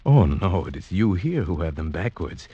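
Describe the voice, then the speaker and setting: An actor playing an earnest, dreamy outsider in love, in mid-century radio drama style with poetic, theatrical delivery. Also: male voice, sits low, sing-song, moderate pace, dark tone, slightly rough, muffled recording, exaggerated voice. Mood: pleading. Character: lovestruck sighted outsider man, village slave, earnest and dreamy. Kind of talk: 1940s-50s radio drama acting, with first-person narration. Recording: old radio drama, music underneath.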